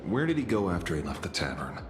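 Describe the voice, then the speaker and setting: A man playing a shrewd, composed private detective in a video game, speaking in a measured, dry way. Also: low-pitched, sing-song, noisy background. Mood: curious. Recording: echoey, over music.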